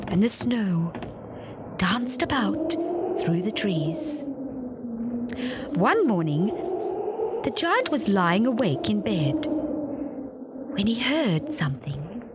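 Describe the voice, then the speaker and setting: A woman's voice: on the high side, heavy background noise. A woman reading a children's story expressively, with dramatic repeats and questions to young listeners.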